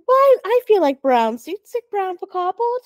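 A woman's voice: very high voice, big pitch swings, normal speed, dry recording, clean studio sound. A woman with a Liverpool accent co-hosting a podcast, chatting casually and acting out the stories she tells. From Merseyside, England. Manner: chatty storyteller, acts out quotes. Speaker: podcast co-host. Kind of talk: casual chat. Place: home recording with a faulty mic.

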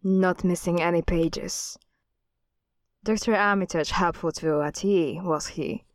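An actress playing a defiant, knowing daughter of a villain in a horror audio drama, with a pointed, assured delivery. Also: female voice, lowish voice, slow, no echo, clean studio sound. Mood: taunting. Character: defiant, knowing woman, cult leader's estranged daughter.

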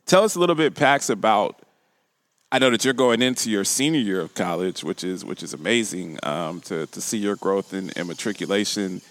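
A middle-aged man hosting a casual conversational interview, speaking haltingly and repeating words as he thinks. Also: on the low side, animated, a bit bright. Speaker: podcast host.

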